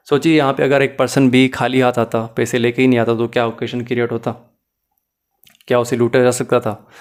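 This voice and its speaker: Man teaching with a flat, even pitch. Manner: didactic, often checks understanding; mixes English phrases into Hindi-Urdu. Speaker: law teacher. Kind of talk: explanatory lecture with examples.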